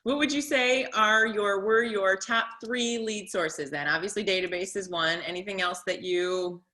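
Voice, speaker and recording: A woman's voice: mid-range voice, normal speed, sharp tone. A woman interviewing a guest in casual conversation, asking curious, probing follow-up questions.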